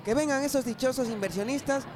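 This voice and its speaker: High-voiced humble Mexican peasant acted by a man. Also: fast talker, noisy background. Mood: indignant. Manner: rustic folksy speech. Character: humble, hardworking Mexican peasant husband, earnest and protective. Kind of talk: amateur student radionovela acting.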